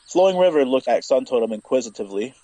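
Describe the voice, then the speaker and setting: A man's voice: medium pitch, lively intonation, fast, dark tone, rough recording. A man reading scripted Western fiction aloud as the narrator of an audio story.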